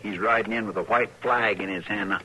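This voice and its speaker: An actor playing a loyal, stubborn western sidekick with a rural Western American drawl, in old-time radio style. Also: male voice, lowish voice, fairly even intonation, fairly quick, sharp tone. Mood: earnest, hushed. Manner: folksy drawl, threatening when crossed. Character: loyal, rough-talking western lawman's sidekick, folksy and stubborn. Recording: noisy, clicky old radio recording.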